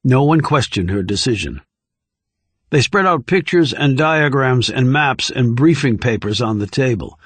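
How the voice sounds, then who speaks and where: A male audiobook narrator with a medium-pitched voice reads thriller prose. His delivery is serious and controlled, captured in a close, very dry studio sound. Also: expressive, measured pace, husky, no room sound.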